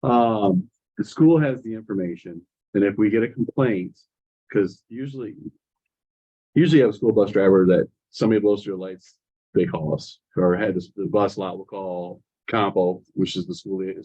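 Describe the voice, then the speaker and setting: A man's voice: low voice, normal speed, mellow. A man, a police officer, casually explaining matters to a village council during a meeting. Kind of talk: answering questions at a public meeting. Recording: dull, lo-fi meeting audio.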